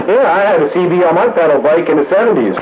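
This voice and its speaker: Higher-voiced older man on staticky radio. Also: expressive, fast talker, narrow, tinny audio, heavy background noise. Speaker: radio roundup participant. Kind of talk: casual chat over radio.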